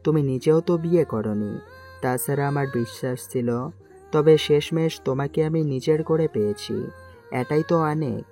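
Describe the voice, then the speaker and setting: An earnest young prime minister in disguise, as a woman voices him for an amateur audio story. The voice sits extremely low with a dark, mellow tone, soft and tender in delivery. Faint music plays underneath. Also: moderate pace. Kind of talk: amateur audio drama acting. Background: faint background music.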